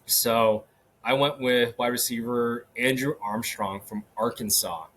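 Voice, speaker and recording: A man hosting a solo sports podcast, talking casually with many fillers and restarts, his voice a little hoarse. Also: medium pitch, fairly even intonation, a little slow, a bit bright, slight background noise. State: slightly hoarse. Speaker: podcast host. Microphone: podcast microphone.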